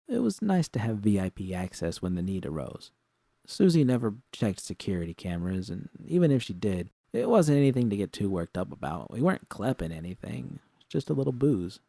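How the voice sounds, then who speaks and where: A man's voice: lowish voice, creaky, warm tone, no echo, studio quality. A man reading a scripted first-person story as its narrator. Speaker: narrator of fan fiction.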